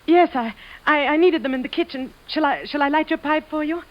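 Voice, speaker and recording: Actress as a high-voiced, nervous 1950s radio wife. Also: female voice, a little fast, slight background noise, close to an everyday voice. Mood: nervous, evasive. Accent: American. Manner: nervous, stammering. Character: anxious, evasive wife and mother hiding a secret. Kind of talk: 1950s radio drama acting. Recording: muffled vintage radio recording.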